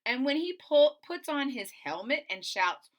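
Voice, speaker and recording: A woman's voice: sits high, big pitch swings, measured pace. A middle-aged woman reading a picture book aloud to children, expressively, with explanatory asides and dramatic emphasis. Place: small indoor room at home.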